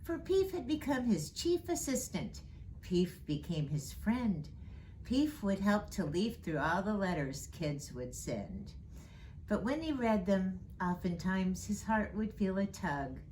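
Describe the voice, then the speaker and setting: A woman reading a children's storybook aloud in an expressive storytime style. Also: mid-range voice, slight background noise, poor audio. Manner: slow, expressive, rhyming read-aloud delivery. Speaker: storyteller reading as Mrs. Claus.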